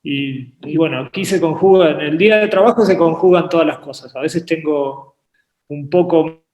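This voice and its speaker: Hesitant painter, roomy sound. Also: male voice, fairly high pitch, normal speed, darkish tone. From Uruguay, raised in Argentina. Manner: hesitant, repeats words while thinking. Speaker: painter and artist guest. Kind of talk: relaxed interview conversation. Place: home painting studio. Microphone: video call. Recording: echoey room on a video call.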